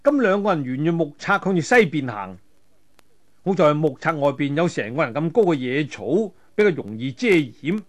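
A man narrating a serialised martial-arts tale in a colloquial, animated storytelling manner with vocal flourishes. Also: fairly high pitch, moderate pace. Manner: colloquial, animated, with spoken sound effects.

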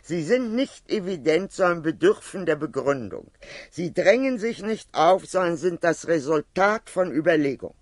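A man reading a formal philosophical lecture from a prepared text, with measured, precise and emphatic phrasing. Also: fairly high pitch, expressive, dry recording, clean studio sound.

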